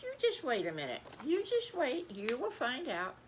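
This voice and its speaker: A woman voicing a firm, patient mother pig in a children's story, calmly giving instructions. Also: high voice, moderate pace, a little hiss or hum, voice pushed up. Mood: teasing.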